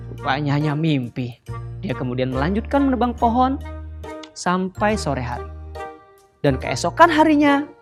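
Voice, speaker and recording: A woman telling a children's story in an animated, sing-song way, addressing the children directly. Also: fairly low pitch.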